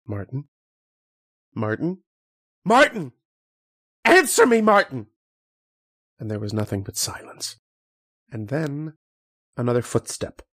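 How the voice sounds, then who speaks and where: An actor playing a haunted, fearful scientist who narrates his ordeal in a dramatic, halting horror radio monologue. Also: medium pitch, big pitch swings, fairly bright tone, exaggerated voice. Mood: panicked. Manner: dramatic, halting, repeating phrases.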